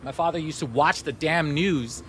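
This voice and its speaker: A man's voice: a little high, moderate pace, a bit bright, a little hiss or hum. Upbeat man chatting with his stream, Somali native. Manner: chatty, upbeat, talks straight to chat. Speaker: gaming streamer. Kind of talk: casual live-stream chat.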